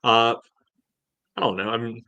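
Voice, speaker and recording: A man's voice: medium pitch, fairly even intonation, unhurried, pushed and bright, no echo, dull audio. A man in his forties chatting casually as a podcast co-host, in a hesitant, rambling way. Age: 40s. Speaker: soccer fan podcast co-host. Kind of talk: casual chat.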